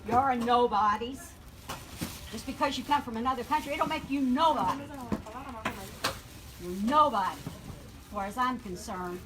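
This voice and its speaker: An older woman berates someone in a store line, her high voice sharp and coarse. She talks loudly and bluntly, recorded on a phone video in the shop. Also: normal speed, pauses often, rough recording. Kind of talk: angry ranting in public.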